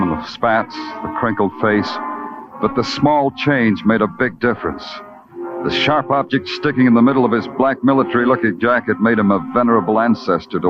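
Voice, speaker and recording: An actor playing a wry, world-weary Broadway police detective, deadpan and poetic, in old-time radio noir style. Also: male voice, lowish voice, lightly acted. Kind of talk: 1940s-50s radio noir acting and narration. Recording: dull old radio broadcast with loud music.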